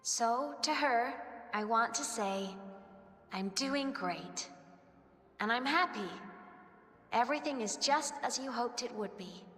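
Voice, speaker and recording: A high, youthful female voice for a game heroine, an earnest and wistful student dreamer. She speaks with heartfelt feeling in long hall reverb, with music behind her. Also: lively intonation, slow pace, pauses often, fairly bright tone. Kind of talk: emotive video game story acting.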